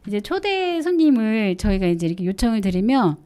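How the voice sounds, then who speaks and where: A woman's voice: medium pitch, sing-song, brisk, dark tone, clear, resonant tone. A woman hosting a casual local radio talk show, chatty and teasing, often laughing with her guest.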